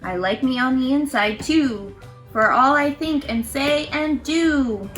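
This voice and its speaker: A woman reads a picture book aloud to children, encouraging and expressive. Her bright, high voice moves up and down a lot, recorded in a roomy space away from the mic with music behind. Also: slow, far from the mic. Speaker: storytime host reading picture books. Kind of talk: animated read-aloud to children.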